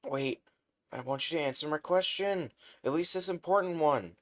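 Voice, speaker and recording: A man voicing an excitable, nervous teenage boy who idolizes a hero, stammering and flustered in a fan-told story. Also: medium pitch, slow pace, pitched above the performer's own voice, lightly acted. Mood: flustered, eager.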